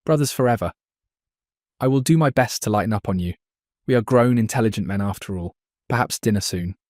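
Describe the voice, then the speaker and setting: A man's voice: lowish voice, fairly quick, a little mellow, no echo, studio quality, lightly acted. A man acting in an audio drama as a proud, stiffly formal brother. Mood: relenting.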